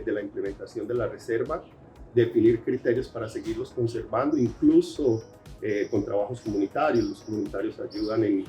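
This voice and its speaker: A Colombian man whose Spanish carries Brazilian Portuguese traces. He answers at moderate pitch, often pausing on "eh" and restarting phrases. Soft music plays faintly behind him. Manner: hesitant, many fillers and restarts. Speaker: researcher guest. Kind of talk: interview answers.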